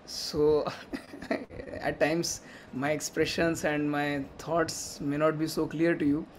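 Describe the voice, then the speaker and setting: Indian-accented man, earnest and fairly high. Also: takes it slowly, a little hiss or hum. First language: Hindi. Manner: earnest, storytelling with light laughs, grows animated. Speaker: speaker at a memorial gathering. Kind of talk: personal remembrance talk.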